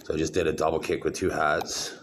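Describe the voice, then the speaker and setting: A man's voice: extremely low pitch, flat delivery, fairly quick, fairly bright tone, slight background noise. A middle-aged man rambling to the camera in a casual vlog, meandering with many fillers and restarts. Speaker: vlogger showing his own videos.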